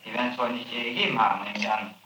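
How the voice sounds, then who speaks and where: A man's voice: medium pitch, fairly even intonation, racing, reverberant, slight background noise, rough recording. A man working as a prosecutor, questioning a witness with probing, insistent questions on an archival tape. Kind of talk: archival interrogation recording.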